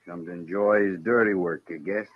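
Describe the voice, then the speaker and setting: Menacing Old West hired gun, deepish voice, insolent, commanding, American accent, dull vintage radio sound. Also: male voice, fairly even intonation, normal speed. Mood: taunting. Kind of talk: golden age radio Western acting. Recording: muffled old radio recording.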